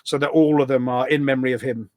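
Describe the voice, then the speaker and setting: A man's voice: medium pitch, steady tone, quick pace, a little mellow, no echo, clean studio sound. A British man chatting informally as a podcast guest, with an easygoing, self-deprecating way of talking. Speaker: podcast guest, community event organiser. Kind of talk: casual chat. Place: office.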